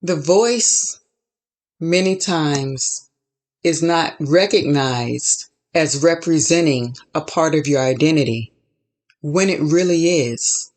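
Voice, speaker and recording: A woman hosting a podcast, giving an inspirational faith talk with a measured, repetitive preaching cadence. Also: sits low, a little mellow. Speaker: podcast host.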